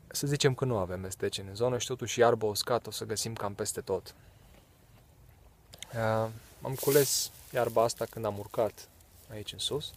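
A man in his thirties from Romania, explaining bushcraft in a relaxed, casual way in leafless woods, with fillers and short laughs. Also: lowish voice, a little hiss or hum. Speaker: bushcraft vlogger. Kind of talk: casual outdoor tutorial. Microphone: camera close, handheld then set on ground.

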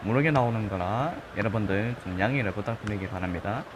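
A man with a regional Korean accent chatting casually over a football video game, his voice a little husky from just waking up, breaking into loud excited shouts. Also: lowish voice, fast talker, noisy background. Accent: regional Korean dialect. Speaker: gaming channel host. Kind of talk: live gameplay commentary. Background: game music.

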